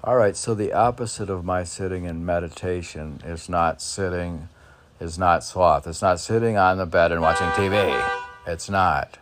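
A man informally reading his poems and commenting on them in a halting, musing way, as if talking to himself. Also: deep voice, steady tone. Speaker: poet reading and commenting on own poems for a podcast. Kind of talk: informal poetry reading with rambling self-reflective commentary.

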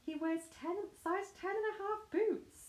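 A woman's voice: extremely high pitch, unhurried. A young British woman hosting a casual true crime chat, chatty and giggly, often self-mocking. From Britain. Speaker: podcast host. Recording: echoey room.

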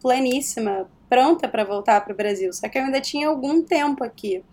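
A woman from Rio de Janeiro with a Carioca accent, a guest chatting casually in an interview, animated and quick to laugh. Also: sits high. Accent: Carioca Portuguese. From Rio de Janeiro, Brazil. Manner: animated storyteller, laughs often.

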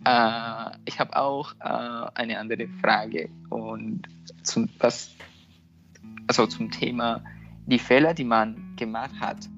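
Slow, hesitant man with a Spanish accent. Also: mid-range voice, steady tone, a bit bright. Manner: hesitant, searching for words. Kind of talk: casual conversation. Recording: lo-fi recording with faint music.

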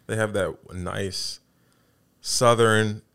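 A man's voice: lowish voice, fairly even intonation, creaky, fairly bright tone, clean tone. A man in his thirties from the United States, co-hosting a casual podcast and often searching for his words. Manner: halting, searching for words, easygoing. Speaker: podcast co-host.

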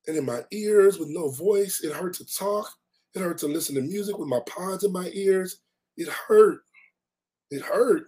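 A middle-aged man hosts a motivational podcast, his voice hoarse and raspy after a cold. He sits a little high for a man and leans on repetition, driving his points home with earnest emphasis as he reads from his own writing. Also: warm tone. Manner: emphatic, repeats key phrases for effect. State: hoarse, recovering from losing his voice. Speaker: podcast host and life coach.

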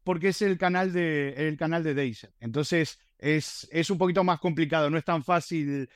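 A man's voice: on the high side, normal speed, clean tone, no room sound, studio quality. A man chatting casually on a podcast panel, telling stories in a rambling, self-deprecating way. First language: Spanish. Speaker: podcast host and video creator.

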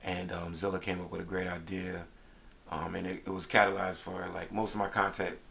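A man from Brooklyn, a rapper as a guest, talking casually in a laid-back, meandering way with many pauses. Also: deep voice, flat delivery, gravelly, a bit bright. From Brooklyn, New York. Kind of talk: casual interview chat.

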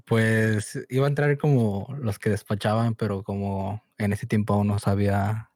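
A young man in his twenties speaks Spanish with a Mexican accent. His voice sits low and mellow, and he talks in a slow, laid-back way, full of fillers and slang. The recording is dry and close, with no room sound. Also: steady tone, no room sound. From born in the United States, raised in Mexico. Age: 20s. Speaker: guest. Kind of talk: casual chat.

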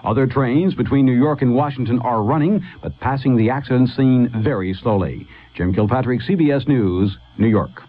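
A man's voice: medium pitch, normal speed, few pauses. A male radio correspondent reads a scripted news report in a mid-range voice. It comes over a thin, phone-like line.

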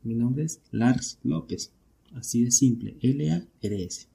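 A man's voice: mid-range voice, slow, vocal fry, dark tone, slightly rough. A Venezuelan man hosting a casual solo podcast, talking conversationally and often posing questions that he then answers himself. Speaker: podcast host.

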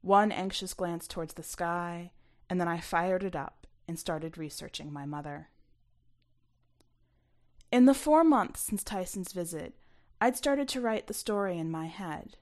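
A woman reading scripted first-person fiction aloud, expressively, with some hushed asides. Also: on the low side, animated, measured pace. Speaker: story reader.

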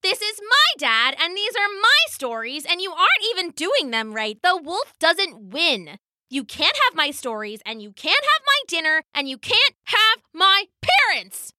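A woman's voice: very high voice, animated, measured pace, hardly any pauses, bright, forceful tone, no room sound, pitched above the performer's own voice, full character voice. A woman voicing a bold, indignant young girl in a storybook, speaking emphatically. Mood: defiant, indignant. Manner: emphatic, insistent. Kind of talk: storybook character voice.

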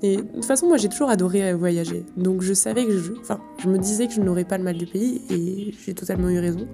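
A woman's voice: medium pitch, fast, creaky, over music. A young French woman studying abroad, talking casually and enthusiastically about her experiences, with hesitations and fillers. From France. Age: young adult. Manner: enthusiastic, effusive, with many fillers. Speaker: exchange student. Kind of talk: casual testimonial.

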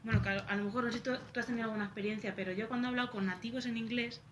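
A woman's voice: fairly high pitch, steady tone, racing, noisy background. A woman hosting a podcast, chatting casually and thinking aloud with friendly interjections. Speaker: podcast host. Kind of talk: casual chat.

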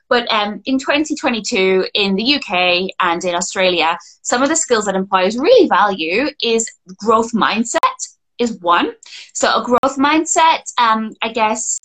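A young adult woman, chatting casually as an employment coach, full of fillers and quick restarts. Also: fairly high pitch, a bit bright. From Scotland. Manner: chatty, frequent fillers and restarts, laughs easily. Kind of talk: casual podcast chat.

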